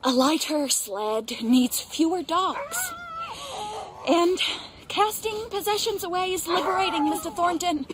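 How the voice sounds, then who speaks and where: An actress playing a desperate, pious, imperious pioneer wife coming unhinged, in theatrical, declamatory radio drama style. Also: female voice, extremely high pitch, big pitch swings, unhurried, background noise, full character voice. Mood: pious, insistent. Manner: theatrical, declamatory, repeating herself.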